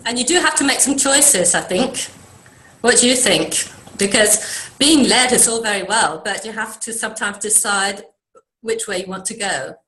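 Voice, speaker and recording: A middle-aged woman from Denmark, a guest in a video interview, speaking softly and reflectively and often trailing off quietly. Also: mid-range voice, normal speed. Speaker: guest, creative mentor. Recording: echoey room sound.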